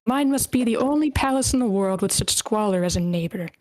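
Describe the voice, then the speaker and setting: A woman's voice: on the high side, animated, a little fast, darkish tone, natural voice. A woman playing a haughty, disdainful rich noble in a screenplay table read over an online call.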